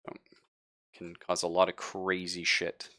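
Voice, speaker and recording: A man's voice: sits low, monotone, measured pace, sharp tone, no echo. A man speaking as game master, improvising narration of a tabletop game in an informal, explanatory way.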